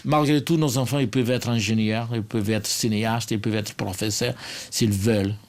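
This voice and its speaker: A man's voice: lowish voice, steady tone, fairly quick. A middle-aged man from Portugal with a Portuguese accent, speaking earnestly in a documentary interview with many hesitations and tag questions. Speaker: sociologist interviewee.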